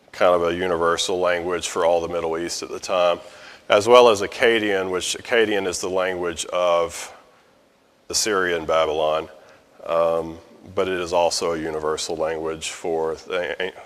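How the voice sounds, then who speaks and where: Low-voiced male Bible study teacher, conversational and unhurried, long room reverb. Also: fairly bright tone. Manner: conversational, explains with fillers and restarts. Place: church meeting room. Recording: echoey hall with long reverb.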